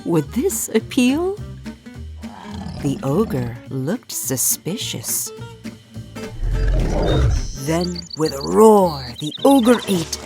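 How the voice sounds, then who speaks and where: A woman reading a children's picture book aloud as storyteller, in a theatrical, deliberate and expressive way. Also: fairly low pitch, music in the background.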